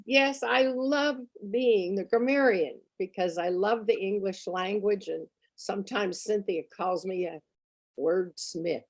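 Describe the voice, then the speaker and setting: A woman's voice: medium pitch, a little mellow, no echo. Woman grammarian, enthusiastic; dry close audio.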